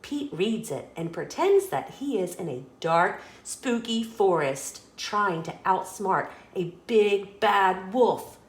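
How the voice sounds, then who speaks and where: Animated woman reading a children's storybook. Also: medium pitch, slow, hardly any pauses, reverberant. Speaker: children's story reader and host. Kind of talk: expressive read-aloud narration of a children's picture book.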